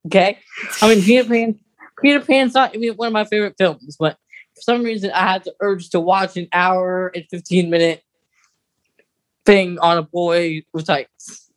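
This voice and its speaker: Podcast co-host, middle pitch, casual chat, emphatic, informal, opinionated. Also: steady tone, moderate pace. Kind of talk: casual chat, opinionated film and radio drama discussion.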